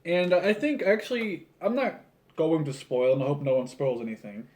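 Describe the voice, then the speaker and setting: Young guy in his 20s, voice a bit high, chatty vlog rambling, jokey and keeps cutting himself off. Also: normal speed, a little mellow. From Canada. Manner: informal, self-interrupting, jokey. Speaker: video creator building a toy model. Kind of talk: casual rambling vlog chat. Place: small room at home, seated at a table indoors. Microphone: camera on tripod about a metre or two away.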